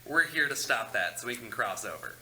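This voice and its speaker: A man's voice: medium pitch, sing-song, a little fast, bright, forceful tone, heavily acted. A man playing a sassy, snide male ghost with a campy, sarcastic manner at a comedy table read. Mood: sassy, withering. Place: large carpeted meeting room. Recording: echoey meeting room, noticeable hiss.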